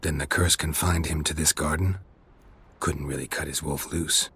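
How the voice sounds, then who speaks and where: A man playing a gruff, middle-aged monster hunter in a video game, gravelly, terse and deadpan with dry wit. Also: normal speed, full character voice. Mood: dry, wry. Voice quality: gravelly, low.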